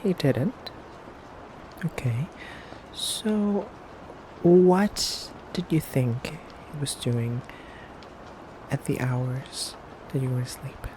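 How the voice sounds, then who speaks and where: A man playing a haughty, teasing pure-blood vampire interrogating a human in an intimate ASMR roleplay, with condescending politeness and hesitant pauses. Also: mid-range voice, noisy background. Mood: probing, mock-polite.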